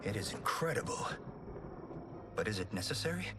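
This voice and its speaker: An actor playing an earnest, respectful young warrior in a fantasy video game. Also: male voice, lowish voice, big pitch swings, moderate pace, loud music behind the voice. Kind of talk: scripted fantasy video game cutscene acting.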